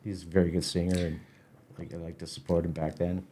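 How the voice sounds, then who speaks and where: A middle-aged or older man chatting as an interview guest, halting and repeating words as he goes. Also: low voice, little pitch movement, warm tone, a little hiss or hum. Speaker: festival organiser, podcast guest. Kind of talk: casual interview chat.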